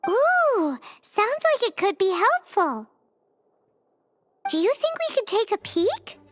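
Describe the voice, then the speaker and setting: A woman voicing a tiny, childlike fairy companion in a video game, cheeky, excitable and chirpy, with a squeaky delivery. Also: slow, dry recording, clean studio sound, faint music behind, exaggerated voice. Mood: curious, eager. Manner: squeaky, chirpy, speaks of self in third person. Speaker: video game character voice actor. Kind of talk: scripted game dialogue, acting.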